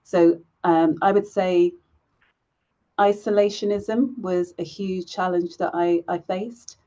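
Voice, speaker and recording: A woman speaking as a panelist on a video call, measured and deliberate, pausing often. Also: on the low side, steady tone, warm tone, muffled recording. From England. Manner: measured and deliberate, many pauses with "um".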